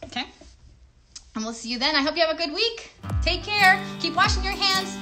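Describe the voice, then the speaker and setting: A woman's voice: very high voice, lively intonation, a little fast, music in the background. A woman hosting a livestreamed puppet show, chatting simply and directly to young children.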